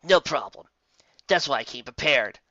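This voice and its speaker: Cocky adventurer mare, acted out by a story reader, sardonic and casual, bright and lively. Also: medium pitch, normal speed, no echo, dull audio. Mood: bored. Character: cool cocky adventurer mare, bored and smirking.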